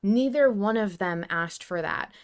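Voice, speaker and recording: A woman's voice: mid-range voice, animated, a little slow, smooth. Emphatic, gushing woman podcast co-host. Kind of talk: casual fan discussion.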